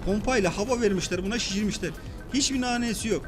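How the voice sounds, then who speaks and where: A man giving excited, casual play-along commentary for a children's toy video, often repeating short phrases. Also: high voice, fast talker, over music.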